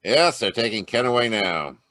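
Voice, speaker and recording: A man voicing a weary police inspector in an old-time radio play, gruff and plain. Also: lowish voice, lively intonation, normal speed, sharp tone, no room sound.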